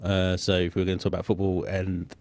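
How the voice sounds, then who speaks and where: Wry Mancunian co-host, deep voice. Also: male voice, monotone, a little fast, darkish tone, a little hiss or hum. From Manchester. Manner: wry, laughing interjections. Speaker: radio co-host. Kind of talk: casual sports chat.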